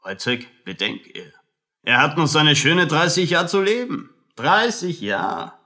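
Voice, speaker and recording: An actor playing a condescending, melancholic army captain in a radio play, with a fussy, pompous, lecturing manner. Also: male voice, on the high side, sing-song, pushed and bright, heavily acted. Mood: agitated, patronizing. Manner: pompous, slow, drawling, lecturing. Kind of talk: German radio play acting.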